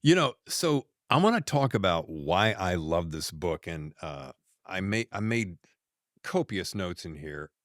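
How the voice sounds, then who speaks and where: Low, animated man in his sixties hosting. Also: measured pace, no echo, clean studio sound. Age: 60s. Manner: enthusiastic, animated interviewer. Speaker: podcast host. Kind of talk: casual podcast interview.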